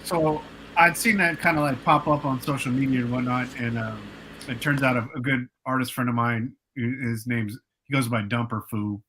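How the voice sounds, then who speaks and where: A man in his late forties, a guest telling stories in a relaxed, rambling way with many fillers and restarts on a podcast. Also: medium pitch, normal speed, a little hiss or hum, low-quality recording. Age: 40s. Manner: laid-back, rambling, lots of fillers and restarts. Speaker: guest, producer and artist. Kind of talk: casual podcast interview storytelling.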